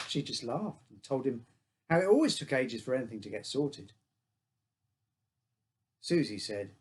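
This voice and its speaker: A man reading his own short story aloud as a first-person narrator, in simple childlike phrasing. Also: mid-range voice.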